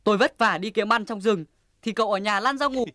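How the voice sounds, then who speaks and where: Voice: mid-range voice, fairly quick, pushed and bright, dry recording, studio quality, lightly acted. An actor playing a hardworking, earnest, easily offended hedgehog in a children's cartoon. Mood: angry, hurt. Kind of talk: Vietnamese children's cartoon acting.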